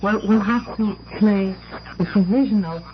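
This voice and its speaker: A woman's voice: medium pitch, unhurried, lo-fi sound, a little hiss or hum. A woman presenting on 1960s shortwave radio in the announcing style of an international broadcast. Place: radio studio, heard over shortwave.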